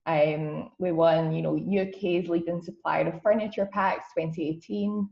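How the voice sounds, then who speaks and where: A woman's voice: on the low side, steady tone, a little slow, vocal fry. Twenty-something scottish girl, broad accent, chatty webinar talk, lots of ums, echoey muffled call audio. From Scotland. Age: 20s. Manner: friendly, chatty, many ums. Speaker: guest speaker. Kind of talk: webinar presentation. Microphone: video call.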